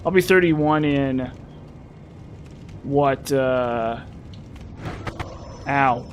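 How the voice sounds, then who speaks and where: A man's voice: medium pitch, very slow, lo-fi sound, a little hiss or hum. A man in his thirties casually chatting and rambling to his viewers while streaming a game. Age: 30s. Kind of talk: casual live-stream chat while playing.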